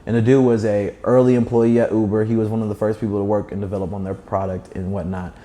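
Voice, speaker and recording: Young guy w a slight lisp, mellow voice, chatty interview guest, talks fluently and leans on his points. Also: on the low side, little pitch movement, quick pace. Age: young adult. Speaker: guest, startup founder. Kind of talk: animated conversational storytelling and advice.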